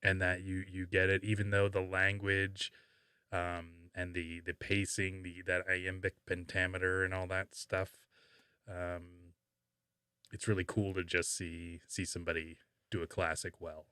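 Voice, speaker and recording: Low-voiced, halting male podcast host. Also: completely flat delivery, sharp tone, clear, resonant tone, no room sound, clean studio sound. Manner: halting, thinking aloud. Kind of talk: casual conversational podcast talk.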